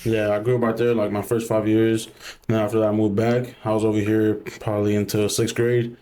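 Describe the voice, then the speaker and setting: A man's voice: fairly low pitch, level tone, fairly quick, warm tone. A young man from Southern California, a rapper talking casually as a podcast guest, laid-back and full of slang and fillers.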